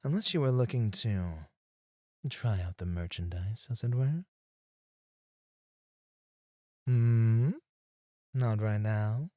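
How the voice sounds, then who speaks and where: A man playing a suave, flirtatious male escort in an ASMR roleplay, teasing and courteous, with drawn-out phrasing. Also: lowish voice, mellow, no echo, studio quality. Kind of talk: ASMR roleplay, addressed to one listener.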